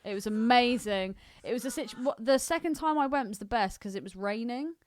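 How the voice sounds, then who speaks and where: A woman's voice: on the high side, a little fast. A woman co-hosting a comedic podcast with casual banter, cutting in with irreverent, crude remarks. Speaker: podcast co-host.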